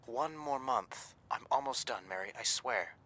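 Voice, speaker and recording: An actor playing a plain-spoken, earnest young hired thug in a 1930s radio drama. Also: male voice, medium pitch, animated, a little slow, pushed and bright. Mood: reassuring, earnest. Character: tough, earnest young male hired thug, devoted expectant father.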